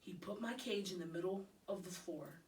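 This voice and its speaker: A woman reading a children's storybook aloud as an expressive narrator. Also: on the low side, normal speed, far from the mic, a little hiss or hum. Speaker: audiobook reader.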